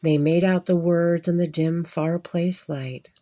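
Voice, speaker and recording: A woman reading a rhyming Western Christmas tale aloud in an expressive, measured storytelling manner. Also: lowish voice. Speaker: storybook reader.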